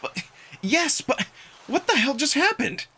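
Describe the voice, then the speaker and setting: Man acting a lively, plucky young human. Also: extremely high pitch, measured pace, slight background noise, pitched above the performer's own voice, full character voice. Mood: bewildered. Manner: incredulous, casual. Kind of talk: visual novel character acting.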